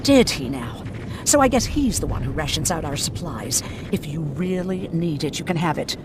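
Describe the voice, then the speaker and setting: An actress playing a curt, sarcastic survivor-camp doctor in a video game. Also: female voice, low voice, lively intonation, moderate pace, background noise. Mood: sardonic, challenging. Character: curt, sardonic female doctor guarding scarce supplies. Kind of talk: cinematic video game acting.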